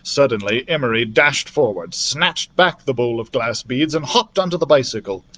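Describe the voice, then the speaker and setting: A man narrating a children's fantasy novel as a library storyteller, expressively, in an animated manner. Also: medium pitch, moderate pace. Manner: animated, acts out the characters' dialogue.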